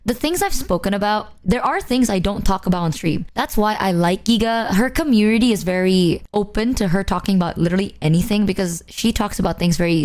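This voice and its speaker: Hoarse young woman streamer, flat delivery. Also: medium pitch. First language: not English. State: hoarse, lost her voice. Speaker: VTuber streamer. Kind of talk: casual stream chat to viewers.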